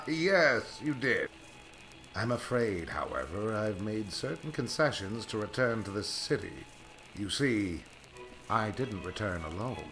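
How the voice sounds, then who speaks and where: An actor playing a smooth, sinister, cursed aviator and jilted lover in an old-time radio drama, speaking in a suave, theatrical way. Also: male voice, fairly low pitch, animated, takes it slowly, sharp tone, faint music behind, exaggerated voice. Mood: ominous.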